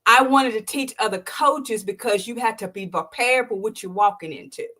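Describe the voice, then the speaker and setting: A woman in her sixties hosting an interview, telling a personal story with feeling, acting out quotes in bursts and dropping soft when moved. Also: normal speed. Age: 60s. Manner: animated storytelling, acts out quotes with sharp jumps in pitch; drops to a soft, low voice when emotional. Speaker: podcast host. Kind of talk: emotional interview testimony.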